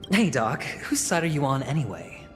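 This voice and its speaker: A man's voice: mid-range voice, sing-song, normal speed, lightly acted. An actor playing a smug, scheming young male gambler in a video game, with a theatrical, teasing delivery. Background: game music.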